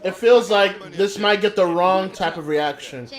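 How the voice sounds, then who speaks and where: A man with a high voice hosts a live reaction show over a voice call. He talks loudly and teases the others. He swears freely. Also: unhurried. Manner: loud, teasing, swears freely. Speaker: host. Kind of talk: casual live reaction chat.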